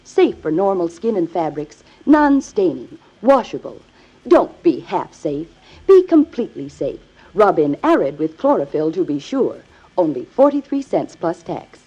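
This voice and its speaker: A woman announcer giving an emphatic, instructional scripted sales pitch in 1950s radio advertising style. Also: big pitch swings, unhurried, dark tone, slightly rough. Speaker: commercial announcer. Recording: dull, lo-fi old radio recording.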